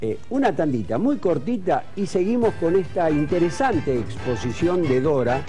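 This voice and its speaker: A man's voice: a little high, sing-song, normal speed, over music. A man with an Argentine accent hosting a television talk show, asking questions and leading into breaks. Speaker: TV show host.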